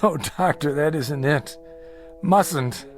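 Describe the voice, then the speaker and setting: A man's voice: on the high side, takes it slowly, faint music behind. A man playing an exhausted, anxious thirty-five-year-old with hesitant, jittery speech in a radio drama. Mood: weary, anxious.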